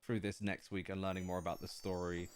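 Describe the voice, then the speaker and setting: A man's voice: low voice, level tone, fast talker. A man hosting a casual livestream, eager and chatty, laughing often as he talks with his guest. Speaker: livestream host.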